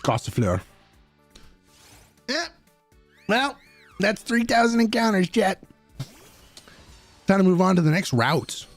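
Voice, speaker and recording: A young man streaming a game and chatting casually with his viewers in a low-key, slightly mumbly way. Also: big pitch swings, slow pace, long pauses, no room sound, soft background music. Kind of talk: casual live-stream chat.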